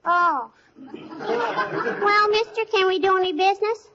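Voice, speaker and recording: Squeaky, cheeky little girl. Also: takes it slowly, creaky, bright, forceful tone, exaggerated voice. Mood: cheeky, wheedling. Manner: coy, childish, teasing patter. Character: cheeky, shrewd little girl pestering a neighbour. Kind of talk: 1940s radio comedy acting. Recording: muffled, faintly noisy old radio recording.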